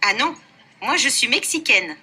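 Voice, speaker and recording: A woman's voice: a little high, sing-song, measured pace, sharp tone, slightly rough, exaggerated voice. A woman voicing an adult woman in a scripted textbook dialogue, chatty and friendly.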